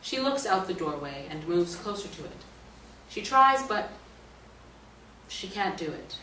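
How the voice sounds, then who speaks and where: A woman's voice: lowish voice, big pitch swings, measured pace, pushed and bright. A woman narrating scripted stage directions at a play reading. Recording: roomy, distant mic, faint noise.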